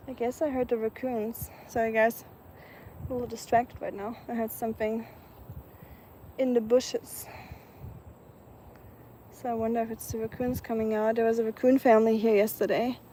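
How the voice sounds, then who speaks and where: A woman from Germany with a German accent, chatting casually and softly outdoors, with many ums. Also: fairly high pitch, little pitch movement, moderate pace, long pauses, a little hiss or hum, poor audio. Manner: soft-spoken, chatty, many ums, laughs lightly. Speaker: vlogger. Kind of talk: casual live chat outdoors. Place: outdoors in the evening, nature sounds around.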